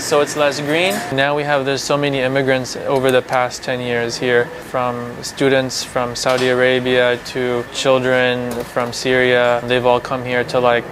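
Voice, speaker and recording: A man owning a family restaurant speaks for a news piece. His voice stays level and flat, explaining things plainly. Traffic and city noise are clearly heard around him outdoors. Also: medium pitch. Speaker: restaurant owner. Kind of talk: interview. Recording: street noise behind.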